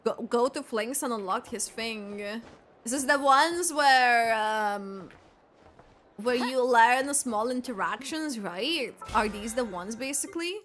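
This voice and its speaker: A woman's voice: a little high, slow, fairly bright tone, faint music behind. A woman streaming a video game, giving casual reaction commentary in a rambling way, often trailing off mid-thought.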